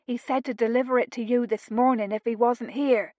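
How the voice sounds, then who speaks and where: Woman playing an excitable chatty housemaid. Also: fairly high pitch, no room sound, highs cut off, voice pushed up, natural voice. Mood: excited. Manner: breathless, gossipy, quick.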